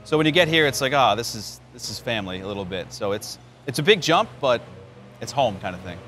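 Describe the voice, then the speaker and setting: A man's voice: mid-range voice, big pitch swings, brisk, fairly bright tone. Man chatting over outdoor background noise. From New York, United States. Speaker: baseball radio play-by-play announcer. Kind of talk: conversational interview answers, storytelling. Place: outdoors at a spring training ballpark.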